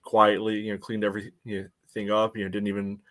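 Low-voiced American man, measured sports talk. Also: completely flat delivery, a bit bright. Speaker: podcast co-host. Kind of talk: casual sports analysis chat.

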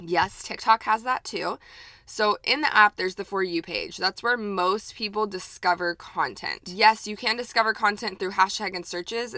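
A woman's voice: medium pitch, pushed and bright, no echo. A young woman with a light Texan accent, hosting her own podcast in an upbeat, chatty way with plenty of fillers. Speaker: podcast host. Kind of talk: casual solo podcast talk.

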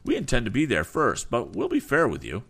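A man's voice: mid-range voice, huge pitch swings, a little fast, fairly bright tone, husky, voice pushed up, heavily acted. A man voicing an insolent, smug rival businessman in a storybook reading. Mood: bold. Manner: sneering, pushy.

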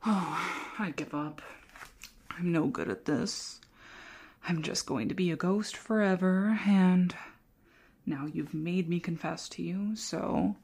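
A woman playing a shy, hapless young female ghost in an ASMR roleplay, speaking softly and often whispering, with theatrically spooky teasing. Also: lowish voice, a little hiss or hum. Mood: dejected, sheepish. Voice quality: soft, breathy, often whispered.

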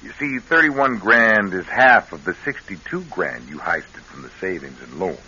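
An actor in an American radio drama playing a sly, needling schemer who talks in folksy, ungrammatical teasing. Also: male voice, deep voice, expressive, moderate pace, pushed and bright, husky, heavily acted. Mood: smug, needling. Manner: drawling folksy bad grammar, teasing. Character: sly, folksy, needling male schemer angling for stolen money. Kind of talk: classic radio drama acting. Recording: hissy, muffled old radio recording.

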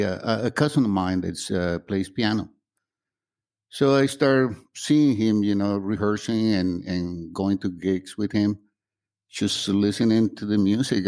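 A man from Guatemala, a band's bass player, talking casually in an interview and laughing softly between remarks.

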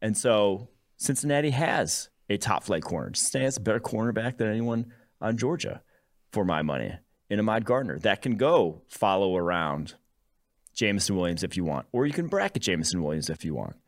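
Very deep-voiced man analysing sports. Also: big pitch swings, brisk. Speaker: sports podcast host. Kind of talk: casual sports analysis chat.

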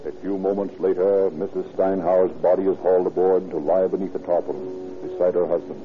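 An actor narrating a radio crime drama in a florid, ominous, theatrical style. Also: male voice, deep voice, little pitch movement, brisk, natural voice. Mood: grave. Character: grim poetic male narrator of a crime tale. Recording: muffled old radio recording, music behind.

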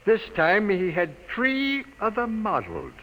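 An actor playing a brisk, suspicious boss with a blunt, slangy, commanding manner in a vintage radio drama. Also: male voice, high voice, wildly swooping pitch, pushed and bright, full character voice. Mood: suspicious, puzzled. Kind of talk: 1940s-style radio drama acting. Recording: noisy old radio broadcast.

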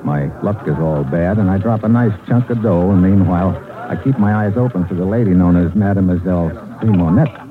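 A man's voice: deep voice, a little fast, few pauses, crowd noise, close to an everyday voice. A man playing a tough, cool undercover American agent in an old radio drama, speaking in clipped slang with an American accent. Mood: cool, wry. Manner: clipped, slangy hardboiled delivery. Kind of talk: old-time radio drama acting with first-person hardboiled narration. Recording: old radio recording, narrow band, music behind.

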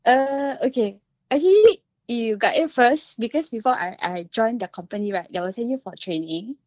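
A woman's voice: a little high, animated, moderate pace, darkish tone, dry recording, lo-fi sound. A woman from the Philippines calling in on the phone, with a Singaporean English accent mixed with Filipino, hesitant and switching languages. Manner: code-switches, hesitant, laughs often. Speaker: caller. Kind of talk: casual call-in chat. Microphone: phone call.

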